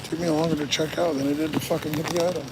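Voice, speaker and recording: A man's voice: on the high side, level tone, racing, heavy background noise. A man on a casual live stream, chatting with viewers from his car and swearing often. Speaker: live streamer doing delivery driving. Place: inside a car.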